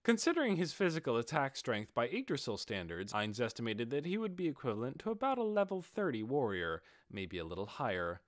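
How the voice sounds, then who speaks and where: A man's voice: medium pitch, wildly swooping pitch, brisk, few pauses, no room sound, clean studio sound. A man narrating a fantasy audiobook in a dramatic, scripted style.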